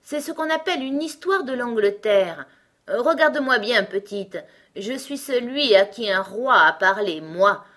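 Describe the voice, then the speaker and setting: A woman's voice: a little high, expressive, measured pace, fairly bright tone, pitched above the performer's own voice. A woman voicing a pompous, irritable male talking egg in a storybook, with a haughty, emphatic delivery. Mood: boastful, self-important. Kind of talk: storybook character acting.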